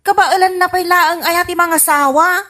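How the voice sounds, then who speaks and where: A woman with an Ilocano accent playing a fussing, easily shocked adult daughter in a comedy radio drama, questioning and expressive. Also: very high voice, normal speed. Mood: shocked, fretful.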